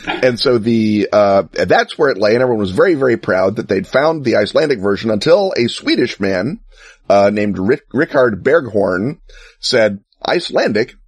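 A man's voice: on the low side, lively intonation, normal speed, breathy edge, no echo. A man co-hosting a casual chat show, explaining at length with enthusiasm, wry asides and frequent fillers. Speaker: podcast co-host.

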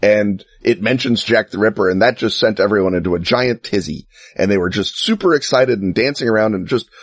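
A man with a slightly low, husky voice talks through his subject with plenty of enthusiasm and wry humor. His telling is loose and chatty, full of ums and uhs. The sound is dry and close, with no room around it. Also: fairly quick, no echo. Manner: enthusiastic, wry asides, many fillers. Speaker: podcast co-host. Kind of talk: casual explanatory chat.

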